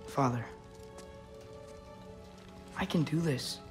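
A man's voice: mid-range voice, takes it slowly, soft background music, natural voice. A young-sounding man playing an earnest, determined teenage boy in a dramatic video game. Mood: earnest pleading.

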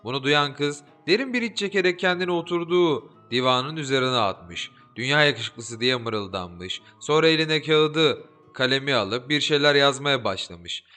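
A man narrating a fairy-tale audiobook in an expressive, lilting storytelling manner. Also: mid-range voice, moderate pace. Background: soft background music.

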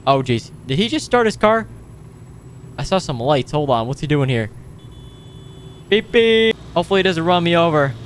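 A man hosting a gaming video with casual, playful roleplay commentary, excitable and given to exclaiming. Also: a little high, moderate pace, long pauses, a little hiss or hum. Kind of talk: casual improvised roleplay commentary over gameplay.

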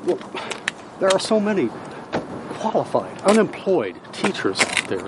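An actor playing a wary older board member, grumbling and doubtful, outdoors in a parking lot. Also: male voice, high-pitched, big pitch swings, unhurried. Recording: outdoor traffic noise.

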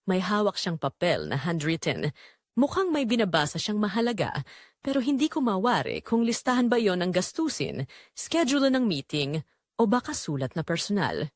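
A woman acts as a young graduate barista narrating her romance in an audio drama. She is reflective and quietly romantic, with a mid-pitched voice that rises and falls freely. The sound is close and dry. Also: big pitch swings, normal speed, no room sound. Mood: curious, observant. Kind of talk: first-person scripted audio drama narration.

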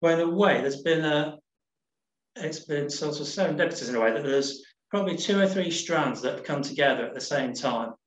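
A middle-aged man giving a casual slide talk as a nature reserves manager, relaxed and rambling with many ums and restarts. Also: a little high, a little fast, reverberant, poor audio. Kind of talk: casual presentation over slides. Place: home room on a video call.

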